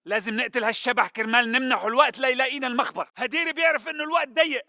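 An actor playing an agitated militant foot soldier in a video game, with a Syrian Arabic accent. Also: male voice, very high-pitched, rapid-fire, vocal fry, pushed and bright, heavily acted. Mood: tense. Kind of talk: video game battle dialogue.

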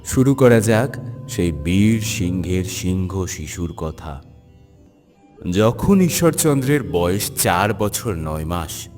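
A man's voice: low voice, very animated, slow, a little mellow. A man giving scripted storybook narration for a cartoon biography. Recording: long hall reverb, music underneath.

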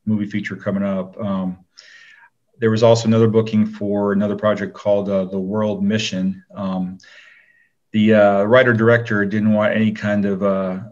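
Man, low voice, laid-back, casual interview guest, muffled lo-fi recording. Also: darkish tone. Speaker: guest, actor.

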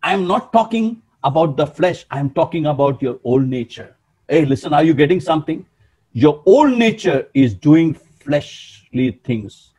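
A man in his sixties preaching a sermon in an emphatic, animated way, often calling out to his listeners, over a webcam in a small room. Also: a little high, normal speed, breathy edge, low-quality recording. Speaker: pastor.

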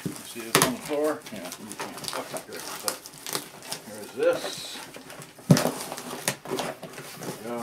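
A man in his sixties chatting casually and haltingly to a camera, muttering to himself as he handles things during an unboxing. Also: mid-range voice, expressive. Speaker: video host, boombox collector. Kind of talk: casual unboxing and show-and-tell chat. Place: cluttered indoor storage room. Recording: noisy room recording with clicks.

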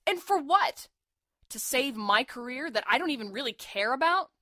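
An actress in an audio drama playing a defiant, sarcastic, fiercely protective young medical student, blunt and emotionally intense. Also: female voice, high-pitched, animated, moderate pace, pushed and bright, no room sound, clean studio sound. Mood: furious. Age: young adult.

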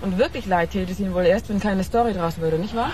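A woman's voice: medium pitch, fast, darkish tone, a little hiss or hum, close to an everyday voice. A woman playing a guarded, curt and sardonic bereaved sister in a radio crime drama. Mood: curt, reproachful.

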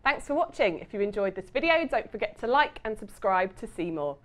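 A woman's voice: mid-range voice, sing-song, brisk, fairly bright tone. Young woman presenting a scripted outro.

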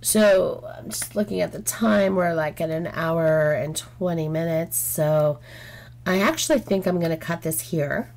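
A woman's voice: lowish voice, measured pace, a little mellow. A woman chatting casually while she works on a craft, frank and rambling with lots of "um"s, her throat getting sore. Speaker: craft channel host. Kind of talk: casual chat while diamond painting. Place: home craft table, indoors.

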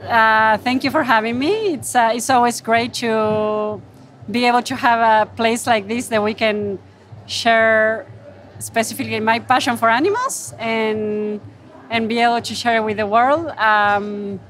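A woman speaking earnestly in an unscripted interview, pausing to find her words, on a handheld microphone. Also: a little high, steady tone, background noise. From Argentina. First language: Spanish. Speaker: wildlife photographer interviewed at her exhibit. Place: gallery booth at an indoor art fair.

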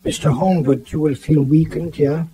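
An actor playing a grave, authoritative physician-professor in a radio drama, giving decisive, clipped instructions. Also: male voice, on the high side, steady tone, moderate pace, crowd noise, close to an everyday voice, poor audio. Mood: measured, musing.

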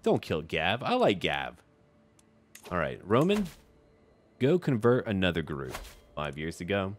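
A man's voice: deep voice, wildly swooping pitch, a little slow, faint music behind. A man giving in-character commentary on a strategy game he is playing, thinking aloud in short, clipped remarks as a ruler. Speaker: gaming video host.

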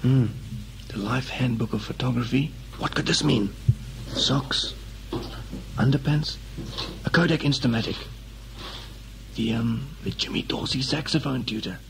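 A man acting a nosy, bossy, sneaking brother in a comedy, muttering in a hushed way. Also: mid-range voice, a little slow, creaky, long pauses, background noise.

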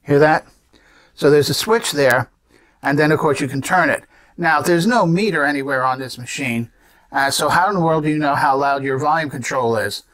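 A man's voice: on the high side, moderate pace, fairly bright tone. A man in his seventies giving an unscripted gadget demonstration in an explanatory way, posing questions and answering them himself. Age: 70s. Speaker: presenter demonstrating vintage gadgets.

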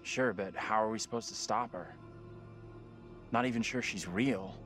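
A man's voice: fairly low pitch, moderate pace, a bit bright, faint music behind, close to an everyday voice. An actor playing an anxious, doubtful young man in a horror game, uneasy and hesitant. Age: young adult. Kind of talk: cinematic horror game dialogue.

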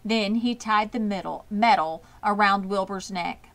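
A woman reading a children's storybook aloud as an expressive narrator. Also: medium pitch, unhurried. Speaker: audiobook reader.

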